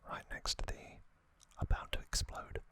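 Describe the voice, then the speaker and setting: Man, whispered ASMR storytelling, very slow, deliberate short phrases, hushed delivery. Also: no echo. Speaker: ASMR host. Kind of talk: whispered ASMR scripted narration.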